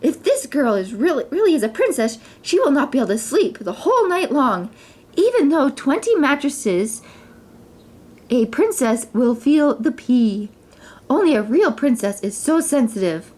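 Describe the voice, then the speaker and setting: A woman voicing an excitable, bossy, scheming middle-aged queen from a fairy tale, exclaiming and fussing as she gives orders. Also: high-pitched, moderate pace, voice pushed up. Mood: scheming, pleased. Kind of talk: children's storybook character reading.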